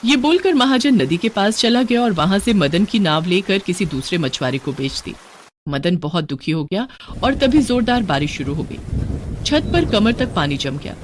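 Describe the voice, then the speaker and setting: A woman storyteller reads a scripted moral tale. Her pitch is middling but moves in lively, expressive swings. There is faint noise in the recording. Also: normal speed.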